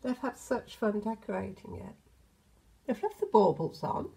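A British woman in her sixties reads a children's picture book aloud, expressively, with gentle asides addressed to the children. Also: medium pitch, very animated, normal speed, creaky. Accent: British English. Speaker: storyteller, infant school teacher. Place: indoors in a home hallway.

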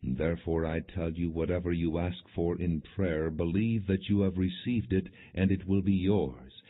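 Man reading as a commanding, husky-voiced religious teacher. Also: sits low, steady tone, moderate pace. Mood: earnest, assured. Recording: muffled phone-like lo-fi audio.

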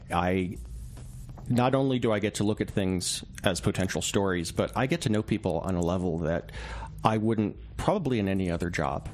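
A man speaking as a reporter being interviewed, reflective and conversational, explaining himself through small anecdotes and examples. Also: low-pitched. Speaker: newspaper reporter, interview guest. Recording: clear background noise and ambience.

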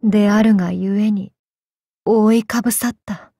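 A woman voicing a tormented, vengeful samurai woman in an anime game, speaking in archaic, solemn, halting phrases. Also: mid-range voice, fairly even intonation, gravelly, dark tone, slightly rough, no room sound, studio quality. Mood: hesitant, pleading. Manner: archaic, solemn speech with halting pauses, sometimes sinking to whispers. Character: vengeful possessed samurai woman, tormented, torn between two selves. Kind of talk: anime game character voice lines.

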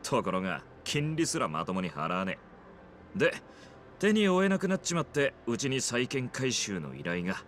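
An actor playing a young, deferential yakuza underling, respectful and earnest, in a Japanese game cutscene. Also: male voice, medium pitch, wildly swooping pitch, moderate pace, fairly bright tone, slight background noise. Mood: earnest, explaining. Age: young adult.

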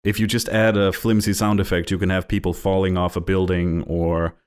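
A man's voice: deep voice, flat delivery, a little fast, smooth. A young Danish man co-hosting a podcast, chatty and jokey, with rambling asides. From Denmark. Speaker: podcast co-host. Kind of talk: casual chat.

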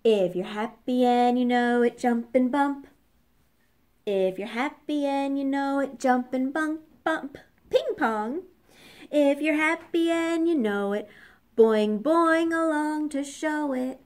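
A woman's voice: high voice, extremely slow, few pauses, a bit bright, voice pushed up, full character voice. A woman voicing a bouncy, playful kangaroo in a sing-song children's storybook style.

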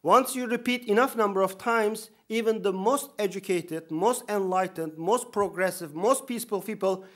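A man's voice: high voice, moderate pace, few pauses. A man in his thirties with a Turkish accent, an imam giving earnest, emphatic opening remarks with dry humor at a conference podium in a lecture hall. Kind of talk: conference speech. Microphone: podium microphone.

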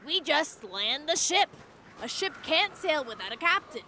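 A woman voicing a helpful, excitable young boy tiger cub in a children's cartoon, earnest and peacemaking. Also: high-pitched, a little slow. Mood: hesitant, helpful. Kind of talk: dubbed children's cartoon acting.

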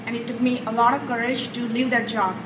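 A woman's voice: fairly high pitch, level tone, fast, background noise. Earnest woman on a handheld mic in a hall. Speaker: health coach student. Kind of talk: audience testimonial. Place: large hotel conference room. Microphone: handheld microphone. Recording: echoey room from far off.

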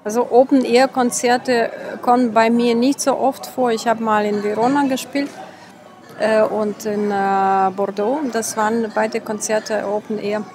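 A woman's voice: fairly high pitch, steady tone, unhurried, darkish tone. A woman in her thirties from Minsk in Belarus, a musician speaking hesitantly in a casual interview, searching for words. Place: outdoors in the evening. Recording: music behind the voice.

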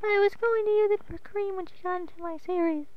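A man doing a squeaky girlish voice. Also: fairly even intonation, fairly quick, far from the mic. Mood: sweet. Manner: as high as he can go, girlish. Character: sweet little girlish female voice. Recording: muffled lo-fi home mic.

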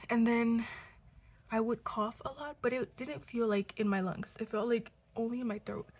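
A sick young woman in her twenties, hoarse and congested, chatting casually to a close camera at home, cursing and coughing now and then. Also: a little high, steady tone, normal speed, darkish tone. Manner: chatty, swearing, coughs between lines. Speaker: vlogger. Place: living room at home. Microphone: camera close to face.

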